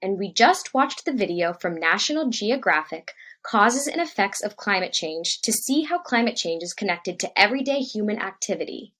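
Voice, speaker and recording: A woman's voice: medium pitch, expressive, normal speed, few pauses, fairly bright tone. A woman playing an earnest, patient young science teacher in a dramatized case study, with an instructive, diplomatic way of talking. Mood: calm, instructive.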